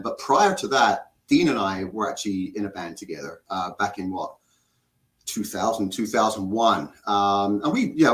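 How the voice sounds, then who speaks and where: A man in his fifties from England, with an English accent softened by years in Canada, chatting in a rambling, hesitant way as a band member. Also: lowish voice. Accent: English, partly Canadian. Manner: rambling, hesitant, many fillers. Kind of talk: casual interview chat. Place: home music studio, garage. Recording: echoey garage room.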